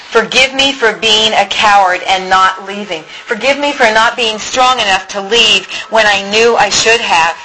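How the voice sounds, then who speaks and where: Slow, bright-voiced woman pleading softly. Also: mid-range voice, rough recording. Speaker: speaker leading a healing apology exercise. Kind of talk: slow spoken apology prayer in a mother's voice.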